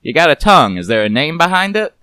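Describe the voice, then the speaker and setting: A man's voice: a little high, very animated, quick pace, pushed and bright, smooth, no echo, studio quality, pitched above the performer's own voice. A man voicing a brash, sardonic ex-cop boat captain in a noir detective story, full of glib wisecracks. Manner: glib, sarcastic, rambling wisecracks.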